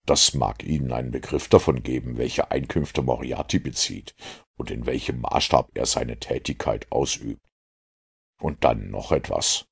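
A man voicing a self-assured master detective who lectures in a measured, superior way in an audiobook. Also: very deep voice, creaky, husky, full character voice. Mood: lecturing, assured. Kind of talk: audiobook character dialogue.

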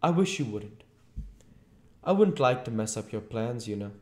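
Man acting a high, whining, frightened tramp. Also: very animated, normal speed, dark tone, close to an everyday voice. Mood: miserable pleading. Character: fretful, whining, frightened tramp near tears. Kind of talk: audiobook character voice. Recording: echoey room.

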